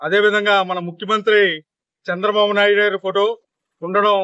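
A middle-aged man, a local party leader, speaks emphatically into press microphones outdoors, pausing on drawn-out fillers. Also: high voice, flat delivery, bright, forceful tone, dry recording, lo-fi sound. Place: outdoors on a street. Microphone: handheld press microphones.

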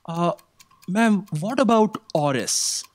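A man's voice: sits high, lively intonation, slow pace. A middle-aged man playing a nervous, deferential office aide in a staged comedy audio drama, hesitant and stammering. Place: theatre stage. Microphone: stand microphone.

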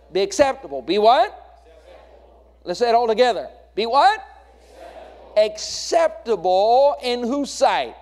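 An American man delivers a sermon in a fairly high-pitched voice. He exhorts his congregation as their pastor, putting questions to them and driving points home with repeated phrases and lists. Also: darkish tone.

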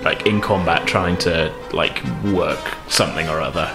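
A man's voice: rumbling low, moderate pace. A man acting as game master, explaining and narrating a tabletop role-playing game in a casual, informal way. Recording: music behind the voice.

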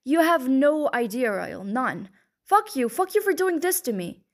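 A woman narrator voicing a furious, wounded young woman who shouts bitterly. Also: a little high, lively intonation, normal speed, natural voice.

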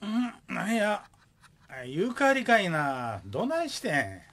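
Gruff widowed father, male actor, Kansai accent, blunt joker, lively intonation, comic radio play. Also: high-pitched, slow, exaggerated voice. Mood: exasperated.

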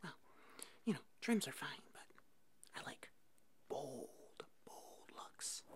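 An eccentric, bumbling monster hairdresser played by a woman whispers through an ASMR roleplay. The delivery is slow and halting, full of hesitation, in a low, breathy hush. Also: lively intonation. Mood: calm, quirky.

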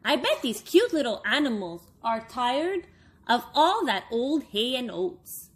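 A woman's voice: on the high side, sing-song, a little slow, husky, exaggerated voice. A woman voicing a lovable, overconfident male furry monster from a storybook, with cheerful, emphatic exclamations.

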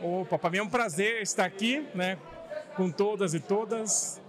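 Male guest, high voice, polite and halting, interviewed with a crowd clearly audible behind. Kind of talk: spontaneous on-camera interview. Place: indoor hall at community event. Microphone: handheld interview microphone held by interviewer. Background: clear crowd murmur.

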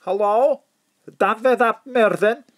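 A woman's voice: fairly high pitch, takes it slowly. A woman playing an exasperated, slightly befuddled official in an audio drama. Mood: weary, irritable.

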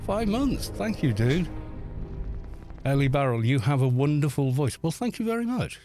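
A man's voice: on the high side, big pitch swings, moderate pace, over music. A man in his fifties from Wales with a Welsh accent touched by northern English, chatting loosely and laughing easily as he streams a game. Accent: Welsh with some northern English. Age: 50s. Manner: chatty, rambling, laughs easily, reads chat aloud. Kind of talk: live stream commentary while playing.

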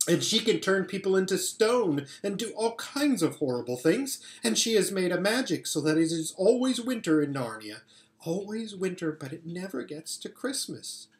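A man voicing an excited, trusting little girl in a storybook read-aloud. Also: high-pitched, moderate pace, pitched above the performer's own voice. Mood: earnest, eager.

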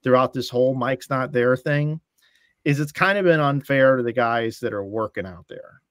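A middle-aged man talking over a video call from a room at home, with a dry, close sound. He builds long stories and slips into acted-out lines of dialogue, often restarting his phrases. His voice sits at an ordinary pitch for a man. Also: moderate pace, no echo. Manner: storytelling, acting out quoted dialogue, restarts phrases. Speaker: guest, bowling stream commentator. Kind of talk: casual podcast chat.

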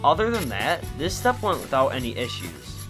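A man's voice: medium pitch, huge pitch swings, normal speed, music in the background. A teenage boy hosting a do-it-yourself video, narrating in a jokey, upbeat, playful way. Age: teens. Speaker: channel host. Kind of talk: casual DIY vlog narration.

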